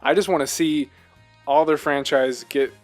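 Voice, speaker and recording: A man's voice: fairly high pitch, fairly even intonation, moderate pace, faint music behind. A man co-hosting a casual video game discussion, talking in an earnest, emphatic way and often asking rhetorical questions. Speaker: podcast co-host.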